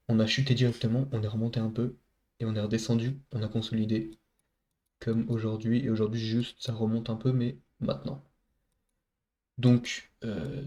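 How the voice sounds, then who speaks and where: Low, flat-voiced man with a stuffy cold. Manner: casual, many hesitations, talks to viewers as buddies. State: stuffy nose from a head cold. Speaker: trader recapping his trade. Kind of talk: casual screen-recorded trade recap.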